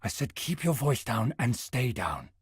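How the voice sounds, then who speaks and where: A man voicing a wary, wry stranger in hiding in a visual novel, speaking in a hushed manner. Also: mid-range voice, big pitch swings, unhurried, breathy edge, no room sound, studio quality, heavily acted. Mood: wary, urgent. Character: wary, bossy male stranger hiding from raiders. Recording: loud string music over the voice.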